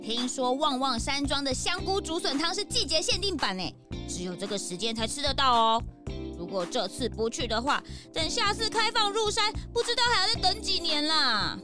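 一位女演員在兒童推理廣播劇中扮演興奮、愛撒嬌央求的年輕小助手，語氣誇張熱切。. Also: female voice, high voice, lively intonation, moderate pace, music in the background. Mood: persuasive. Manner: gushing, exclamatory, pleading. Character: excitable young assistant Peanut, eager and coaxing. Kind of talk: children's detective audio drama acting.

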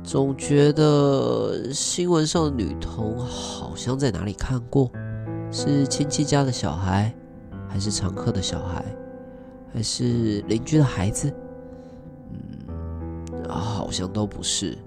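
An actor playing a pompous, callous restaurant tycoon in an audio drama monologue. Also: male voice, medium pitch, takes it slowly, gravelly, music in the background. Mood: nonchalant, detached. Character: smug, callous gourmet restaurant tycoon, indifferent man. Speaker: actor and first-person narrator.

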